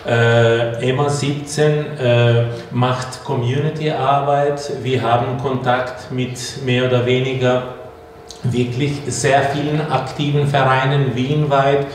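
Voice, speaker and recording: A man from a city community service giving slow, measured opening remarks to an audience. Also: mid-range voice, flat delivery. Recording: distant, very echoey hall, faint noise.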